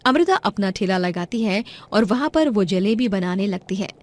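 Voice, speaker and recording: A woman's voice: mid-range voice, lively intonation, normal speed, a little mellow, no echo. A woman narrator reading a scripted moral story.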